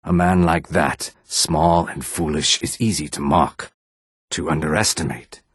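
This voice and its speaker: A man voicing a reptilian Argonian assassin and outlaw in a video game, with a rasping, husky voice. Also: extremely low pitch, fairly even intonation, a little slow, a bit bright, no room sound, clean studio sound, heavily acted. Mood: calm, cautionary. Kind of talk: video game character dialogue.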